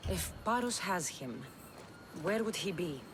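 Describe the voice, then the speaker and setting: A woman's voice: fairly low pitch, animated, a little slow, slight background noise. A woman playing a fierce, earnest young Greek mercenary and protective daughter in a dramatic video game. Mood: businesslike.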